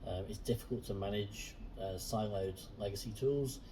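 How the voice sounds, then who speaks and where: A man hosting a casual interview chat, relaxed and friendly with frequent fillers. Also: lowish voice, moderate pace, far from the mic, noisy background. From Southern Hemisphere. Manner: relaxed, friendly, many ums. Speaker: host.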